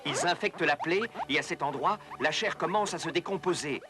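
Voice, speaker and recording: A man voicing an earnest, thoughtful doctor and researcher in an educational cartoon, speaking in a measured, explanatory way. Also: fairly high pitch, natural voice. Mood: grave, concerned. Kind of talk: French-dubbed educational cartoon acting. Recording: music under the voice.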